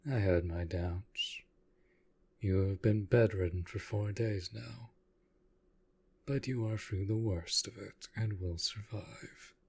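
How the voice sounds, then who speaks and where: A man playing an aloof, commanding yet protective vampire master in an intimate roleplay audio drama, speaking in a formal, measured way. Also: lowish voice, a little mellow, breathy edge, full character voice. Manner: formal, measured, deliberate speech.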